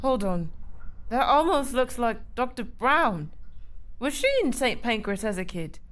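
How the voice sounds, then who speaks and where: High-voiced, determined girl detective. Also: animated, unhurried, fairly bright tone. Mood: curious, musing. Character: Adelaide, bold determined girl detective, young irregular. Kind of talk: audio drama acting.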